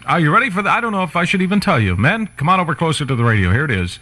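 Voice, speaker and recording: A man's voice: on the high side, big pitch swings, very fast, a little hiss or hum. A man working as a morning radio disc jockey, with playful, teasing, hearty 1960s top-40 patter. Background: loud music.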